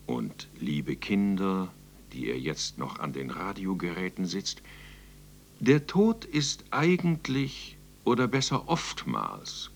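A deep, gravelly male voice swoops theatrically through mock-solemn musings. He is Death as a wry, chatty radio play host, rambling cosily as he addresses his listeners. A faint noise sits behind him. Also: full character voice. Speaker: narrator. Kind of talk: radio drama narration with direct address.

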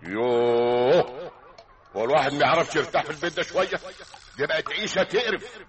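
A man's voice: fairly high pitch, brisk, close to an everyday voice. An actor playing a grumbling, irritable older Egyptian husband woken from his nap, in a radio drama. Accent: Egyptian Arabic. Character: grumpy sleepy old husband and grandfather. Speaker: grandfather. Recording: music behind the voice.

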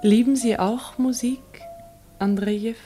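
A woman's voice: a little high, fairly even intonation, measured pace, over music, natural voice. An actress playing a dreamy, innocent teenage girl in a radio drama, with a tender, artless way of talking. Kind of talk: German radio drama acting.

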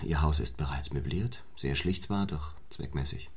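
An actor playing a stern, ambitious young clergyman in a German radio play, speaking in a measured, formal and probing manner. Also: a bass voice, husky, full character voice. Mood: earnest, intense.

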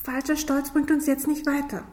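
A woman's voice: sits high, flat delivery, moderate pace, a little mellow, lightly acted. An actress playing an upset, accusing young girl in a radio crime drama, urgent and confrontational.

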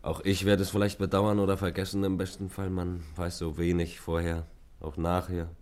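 A male actor playing a young traveler in an old radio drama, low in pitch. He is intimate and deliberate, a teasing lover who stays wistful and evasive. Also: completely flat delivery, darkish tone, lightly acted. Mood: wry, wistful. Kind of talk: classic German radio play acting.

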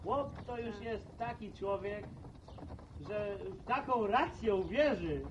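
An actor playing a wry, folksy farmer and cart driver in a radio drama, teasing and talking in homespun sayings. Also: male voice, high voice, slow, dull audio, background noise.

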